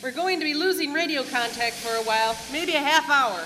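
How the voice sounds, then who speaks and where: A woman playing a brisk, reassuring colonel at ground control. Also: sits high, in a large hall, background noise, lightly acted. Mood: calm.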